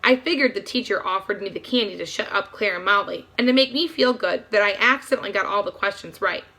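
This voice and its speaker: Bright-voiced woman reading a story aloud. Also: medium pitch, fast, rough recording. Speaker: read-aloud narrator.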